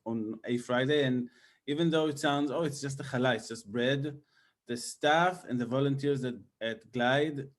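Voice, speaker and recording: Man, moderator, medium pitch, restarts and fillers, cordial. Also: moderate pace. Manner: warm thanks, hesitant restarts. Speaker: session moderator. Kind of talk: panel moderating.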